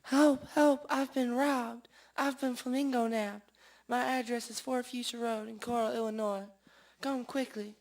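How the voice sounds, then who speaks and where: A woman's voice: on the high side, steady tone, a little slow, darkish tone. A woman playing a fretful, melodramatic lady pet owner with a theatrical, plaintive and very polite manner in a comic radio drama. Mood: panicked.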